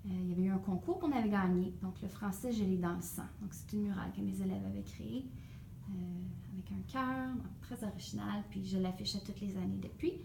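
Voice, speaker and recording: A woman's voice: medium pitch, a little fast, creaky, darkish tone, reverberant, slight background noise. A woman teacher from Ontario with a Franco-Ontarian French accent, casually showing her classroom, hesitant with many fillers. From Ontario, Canada. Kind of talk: casual classroom tour vlog. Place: empty classroom.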